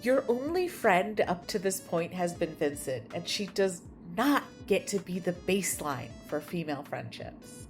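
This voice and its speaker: A preoccupied but frank young woman in an audio drama. She takes her time, with lively intonation, over faint music. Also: fairly low pitch, measured pace, hardly any pauses. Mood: teasing, reassuring.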